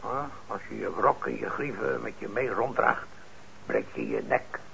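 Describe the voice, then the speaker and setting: An actor in a Dutch radio play playing a kindly, weary older instrument repairer, mild and hesitant, with a gravelly voice. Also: male voice, lowish voice, wildly swooping pitch, normal speed, a bit bright, exaggerated voice. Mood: calming, fatherly. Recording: muffled, noisy old radio recording.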